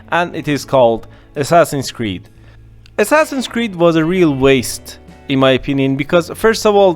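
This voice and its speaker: Opinionated man with soft music. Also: a little high. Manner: opinionated, animated, with pauses and restarts. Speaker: podcast host. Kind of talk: casual opinion monologue.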